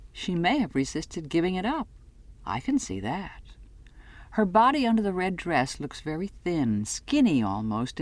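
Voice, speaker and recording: A woman reading scripted first-person literary fiction as an audiobook narrator, with measured, dramatic phrasing. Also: deep voice, darkish tone, dry recording.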